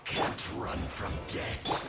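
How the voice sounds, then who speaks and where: A woman playing a menacing, taunting fighter in a video game battle, with threatening, drawn-out delivery. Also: a little hiss or hum. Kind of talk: video game combat barks. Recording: distant, under loud music and combat effects.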